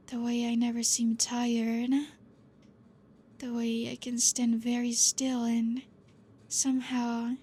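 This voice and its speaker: A woman voicing a protective, possessive female vampire teacher in an ASMR roleplay. She speaks softly, calmly and with quiet command, her breathy voice slipping into whispers. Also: a little high. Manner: soft-spoken, calm, quietly commanding.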